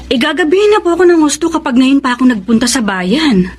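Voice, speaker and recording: High-voiced dutiful daughter. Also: female voice, sing-song, fast talker, noisy background. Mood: reluctant. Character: dutiful adult daughter, mildly reluctant. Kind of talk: radio drama acting.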